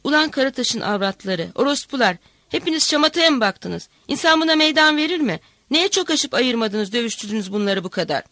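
A woman's voice: a little high, fast talker, few pauses, no room sound, close to an everyday voice. A woman voicing a blustering village headman. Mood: outraged. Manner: bossy, shouting.

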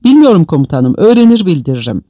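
A man voicing an obedient, excited subordinate soldier, clipped and deferential, in a dramatized audiobook. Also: a little high, fast talker, no room sound, highs cut off. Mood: eager, deferential.

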